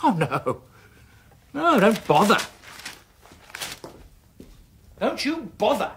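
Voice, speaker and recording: An actor playing a bullying, sarcastic British husband in a radio drama, blustering and repeating himself, with a husky voice. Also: male voice, high voice, very animated, a little slow, a bit bright, a little hiss or hum, exaggerated voice. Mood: scornful, angry. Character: bullying, sneering, hard-drinking husband, sarcastic and resentful.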